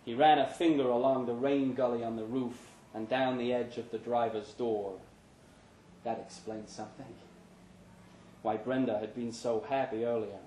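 A man's voice: mid-range voice, fairly even intonation, normal speed, warm tone, a little hiss or hum. A man giving a scripted narration of a short story at a live reading indoors. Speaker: reader of short fiction. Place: pub event, indoors. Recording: echoey live room.

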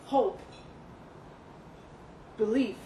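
A woman reading a literary short story aloud at a live event, with expressive, deliberate phrasing and dramatic pauses. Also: a little high. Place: indoor live reading event at a pub venue. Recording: roomy live venue, some background noise.

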